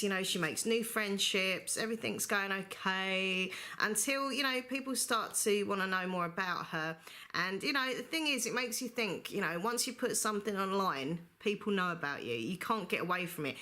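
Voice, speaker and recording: A woman's voice: medium pitch, pushed and bright. A woman chatting through a book review vlog, rambling with lots of fillers and getting over the flu with a slight cough. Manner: chatty, rambling, many fillers like "you know". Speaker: book vlogger. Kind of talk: casual book review monologue.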